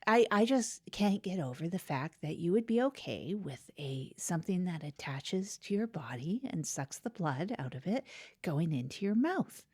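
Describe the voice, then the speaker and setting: A woman with a fairly low voice hosts a comedy podcast. Her delivery is conversational and deliberate, explaining things step by step as she improvises. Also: big pitch swings, darkish tone, husky, no echo, clean studio sound. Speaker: podcast host.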